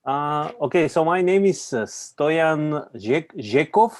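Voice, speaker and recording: Slow-talking man from Bulgaria. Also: on the high side, animated, dry recording, studio quality. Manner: halting non-native phrasing with laughs. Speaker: meeting participant, programmer. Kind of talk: casual self-introduction on a call.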